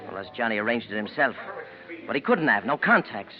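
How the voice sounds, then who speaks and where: A possessive, menacing American attorney, with a mid-range voice that swings from smooth to excited. The acting is theatrical vintage radio melodrama, on a dull recording with music behind it. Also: male voice, mid-range voice, fairly quick. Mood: probing. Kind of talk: 1940s radio drama acting.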